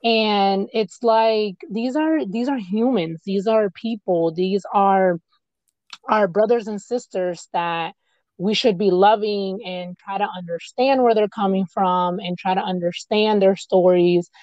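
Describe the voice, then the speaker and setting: A woman with an American accent speaks as a podcast host. Her voice sits at a middle pitch for a woman and is recorded dry, with very little room sound. The delivery is informal and conversational. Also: a little slow, a little mellow, dry recording, lo-fi sound. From United States. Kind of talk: casual interview chat.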